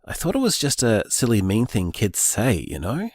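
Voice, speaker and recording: A man's voice: lowish voice, normal speed, dry recording, clean studio sound. An actor playing an earnest young man discovering luck magic, talking in a thoughtful, musing way. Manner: thoughtful, musing, lively delivery. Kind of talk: audio drama, voice-message monologue.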